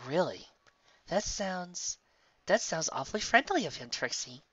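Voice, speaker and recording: Young female unicorn, playful and dramatic, storybook character with wide-swinging pitch, male performer, slightly dull sound. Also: big pitch swings, unhurried, bright, forceful tone, breathy edge, dry recording, exaggerated voice. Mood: curious. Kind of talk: audiobook character acting.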